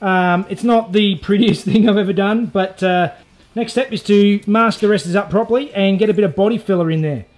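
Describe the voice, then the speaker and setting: High-voiced Australian man in a garage. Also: fairly quick. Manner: relaxed, practical, self-deprecating, lots of "uh" fillers. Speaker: DIY car repair host. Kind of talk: hands-on workshop tutorial with voice-over. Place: indoor garage workshop. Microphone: lapel mic.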